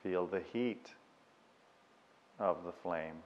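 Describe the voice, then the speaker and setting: A man leads a guided meditation in a very low voice. He speaks very slowly and measures out each instruction, leaving long pauses. The sound carries a long, lingering reverb. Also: dull audio, slight background noise.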